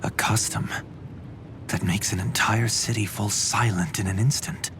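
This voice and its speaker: A man voicing a polite, grave, guarded gentleman in a video game, speaking formally. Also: steady tone, natural voice. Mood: wary. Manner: formal, measured. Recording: loud rumbling noise behind.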